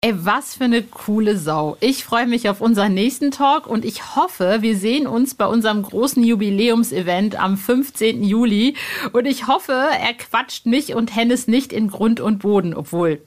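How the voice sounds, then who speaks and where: A woman's voice: fairly high pitch, moderate pace, a little mellow. A woman hosting a podcast in a casual, chatty way, speaking informally and effusively with plenty of slang. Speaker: podcast host.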